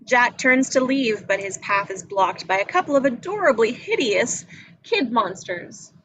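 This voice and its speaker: Bright, high-pitched woman narrating a script. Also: animated, measured pace, slight background noise, rough recording. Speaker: narrator reading action descriptions. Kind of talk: scripted narration at a live table read.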